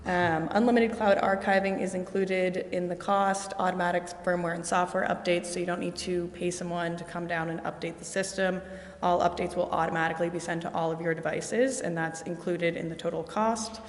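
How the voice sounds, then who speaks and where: A woman representing a vendor gives a sales presentation to a city council, walking through her slides in a steady, explanatory way with frequent ums. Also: fairly low pitch, a little fast. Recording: echoey, reverberant council chamber with faint noise.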